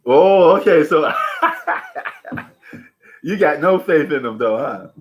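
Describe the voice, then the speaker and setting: A man's voice: on the high side, expressive, slow pace, lo-fi sound, a little hiss or hum. A man hosting a live sports stream, chatting casually with slangy, bantering commentary. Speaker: livestream sports host.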